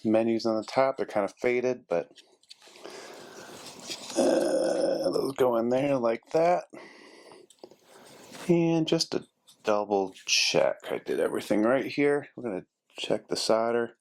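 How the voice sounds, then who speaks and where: A man's voice: mid-range voice, lively intonation, slow pace, long pauses, a little hiss or hum. A man casually narrating a hands-on repair, thinking aloud as he works, like a DIY video host. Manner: thinking aloud while working. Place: indoors at a carpeted workspace desk.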